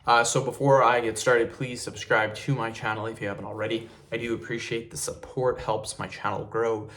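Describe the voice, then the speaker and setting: A young man hosting a casual tutorial video to camera, relaxed and repetitive, often calling viewers "you guys". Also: medium pitch, moderate pace. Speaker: fitness video host.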